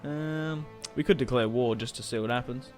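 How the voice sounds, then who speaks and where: A young man chats through a strategy game at an ordinary mid pitch. He rambles, swears casually and keeps it loose. Soft game music sits faintly behind his close desk mic. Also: moderate pace. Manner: chatty, rambling, swears casually, laughs at own moves. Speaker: gaming YouTuber. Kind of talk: casual let's-play commentary.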